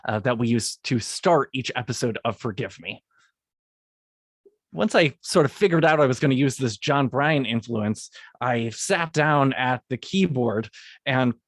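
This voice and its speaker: A man, a guest composer, recording semi-scripted podcast narration in an explanatory way with halting phrasing. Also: a little high, no room sound, clean studio sound.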